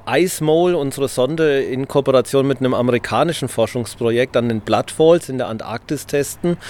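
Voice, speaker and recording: A male engineer speaking in a measured, slightly hesitant way with fillers. He is answering interview questions about testing his technology. His voice sits at an average pitch. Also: darkish tone. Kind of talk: conversational explanation. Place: event venue.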